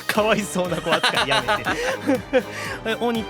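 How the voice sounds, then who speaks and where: A man with a Kansai Japanese accent hosting a podcast, bantering playfully with animated comic retorts between segment announcements. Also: high voice, unhurried, loud music behind the voice. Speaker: podcast host, voice actor.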